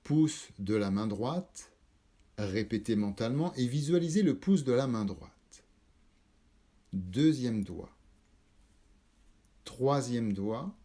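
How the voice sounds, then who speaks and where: A man leading a guided yoga nidra meditation, calm and measured, instructing in short spaced phrases. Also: medium pitch. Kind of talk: slow guided relaxation meditation.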